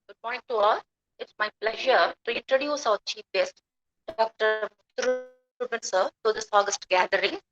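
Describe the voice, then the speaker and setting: A woman's voice: a little high, moderate pace, fairly bright tone, highs cut off, soft background music. A woman reading a formal, respectful introduction of a guest speaker in a webinar. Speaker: assistant professor introducing the chief guest. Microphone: video call.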